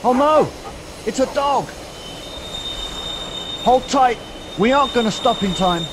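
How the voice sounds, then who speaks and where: Teenage boy as a high-voiced train crewman. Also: expressive, a little slow. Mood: panicked. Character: wary, informal male steam train crewman. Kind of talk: school radio play acting. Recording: faint train noise behind.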